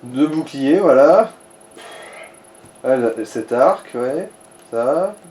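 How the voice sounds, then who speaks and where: A man's voice: medium pitch, lively intonation, extremely slow, a little mellow. A man giving casual, slangy gaming advice in speech, explaining tactics with crude language. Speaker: let's play co-commentator advising the player.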